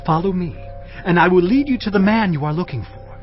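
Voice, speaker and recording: A man's voice: high-pitched, lively intonation, brisk, muffled recording, faint music behind. An actor playing a stern old male prophet who speaks in solemn, commanding pronouncements, in a dramatized audio Bible.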